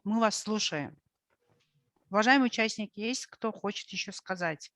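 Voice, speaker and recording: A woman's voice: medium pitch, normal speed, fairly bright tone, no echo, studio quality. A woman moderating an online round table, polite and affirming as she wraps up. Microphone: video call audio.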